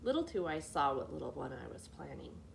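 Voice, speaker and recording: A woman reading a children's fairy tale as a storyteller, with expressive scripted narration. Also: deep voice, fairly quick, gravelly, slight background noise. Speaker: library storyteller.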